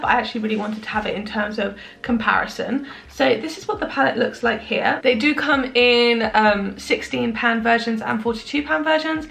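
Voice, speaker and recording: A young woman with a slightly high, gravelly, croaky voice chats away about her makeup at home. She rambles and pokes fun at herself, and her voice carries a clear edge of fry. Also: a bit bright. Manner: chatty, self-deprecating, rambling. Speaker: beauty vlogger. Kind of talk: casual makeup review chat. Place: indoors at home in the evening.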